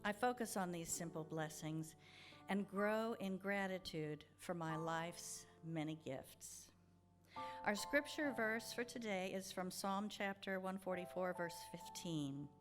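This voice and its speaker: A woman speaking as the platform host of a church service, giving an informal welcome and devotional reading, chatty at times and pausing deliberately when she reads. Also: lowish voice, faint music behind. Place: church sanctuary.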